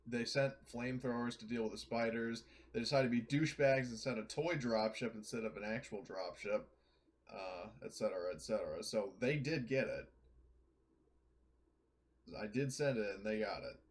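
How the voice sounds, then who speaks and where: A man's voice: medium pitch, normal speed. A man playing a tabletop roleplaying game, talking casually in and out of character in a blunt, argumentative way.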